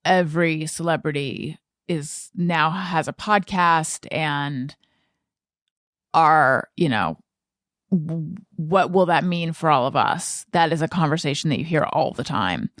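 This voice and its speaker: Female podcast host, low voice, slow and halting conversational delivery, close dry sound. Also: dry recording. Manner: halting, thinks aloud, repeats words. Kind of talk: casual conversational podcast talk.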